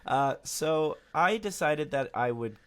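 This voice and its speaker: A man co-hosting a casual sports podcast, telling stories in a relaxed way with many fillers. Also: a little high, takes it slowly, smooth. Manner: relaxed storytelling with frequent fillers. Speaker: podcast co-host.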